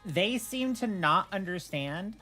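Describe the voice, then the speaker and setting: A woman's voice: mid-range voice, slow, faint music behind. A woman chatting casually on a livestream, telling stories in a blunt, opinionated way. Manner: opinionated, blunt, animated storytelling with swearing. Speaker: streamer, host.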